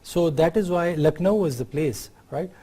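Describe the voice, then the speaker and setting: A middle-aged man with a Pakistani accent giving an unscripted university lecture, often pausing on fillers and checking in with "right?". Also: on the high side, dark tone, breathy edge. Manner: unscripted explaining, many fillers, tag questions like "right?".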